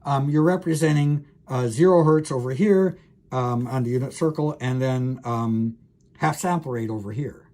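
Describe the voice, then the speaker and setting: A man's voice: medium pitch, normal speed, dark tone. A man lecturing as a professor, explaining technical material informally, thinking aloud with frequent hesitations and self-corrections and speaking directly to the listener.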